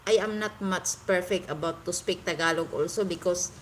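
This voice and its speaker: A woman's voice: on the low side, normal speed, a little hiss or hum, rough recording. A woman from the Philippines with a Visayan Filipino accent, chatting on a live stream and stopping often to search for words in English. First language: Cebuano. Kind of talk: casual live stream chat with viewers. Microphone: phone.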